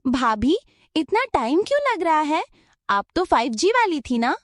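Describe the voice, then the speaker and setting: A woman's voice: sits high, sing-song, a little slow, no echo, studio quality. A woman voicing a pushy young college student sister-in-law in a cartoon story. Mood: impatient. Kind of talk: cartoon voice acting.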